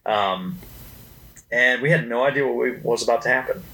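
A man's voice: mid-range voice, moderate pace, pushed and bright, rough recording. A man telling stories in casual podcast chat, with an emphatic way of talking. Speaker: podcast co-host recalling company history.

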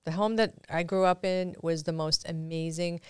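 A woman with a smooth, clear voice talks casually as a podcast guest. She is animated and often interjects, and the sound is close and dry. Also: fairly low pitch, normal speed, no echo. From Brooklyn, New York.

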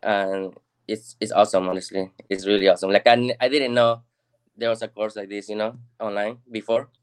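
A man's voice: on the low side, fairly even intonation, fairly quick, smooth. A man from Peru as a guest on a video-call interview, earnest and modest, with many casual fillers.

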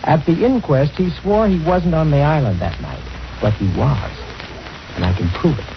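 Firm, animated radio-drama friend. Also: male voice, fairly high pitch, normal speed, background noise. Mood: suspicious. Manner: firm, persuasive. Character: level-headed, suspicious male friend taking charge. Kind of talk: old-time radio drama acting. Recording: muffled old radio recording.

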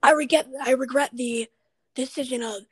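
A raspy, husky young woman with a high voice, chatting on her podcast. She rambles through her stories, full of fillers. The sound is dry and close. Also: fairly even intonation, moderate pace, dry recording. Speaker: podcast host. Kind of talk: casual chat.

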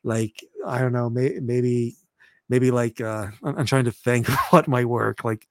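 A man in his thirties chatting casually as a podcast host, halting and often repeating words as he goes. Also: fairly even intonation, no room sound. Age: 30s. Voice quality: deep voice. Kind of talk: casual chat.

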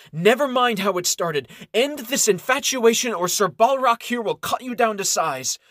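A man's voice: a little high, a bit bright, no room sound, studio quality, voice pushed up. A man voicing an angry young female elf who snaps threats and gives orders. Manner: snappish, threatening.

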